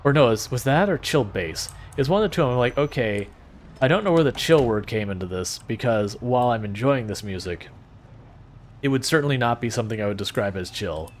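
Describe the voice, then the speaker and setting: A man with a soothing voice chatting casually with his stream viewers as a game streamer, relaxed and rambling, often restarting his sentences. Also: medium pitch, brisk, a little hiss or hum.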